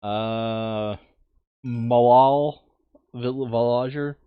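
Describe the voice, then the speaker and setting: A man with a mid-pitched voice talks casually over a board game, sometimes reading out the rules and story text. He is blunt and swears freely. The sound is dry and a little dull, with a lo-fi muffle. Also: steady tone, extremely slow, clear, resonant tone, no room sound. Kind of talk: casual game table chat, some read-aloud narration.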